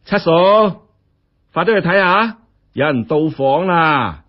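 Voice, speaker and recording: A man's voice: fairly high pitch, lively intonation, slow, pitched above the performer's own voice. A man voicing a genial, stout, wealthy lady in her forties in a story, breezy and magnanimous. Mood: commanding. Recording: dull, muffled lo-fi audio.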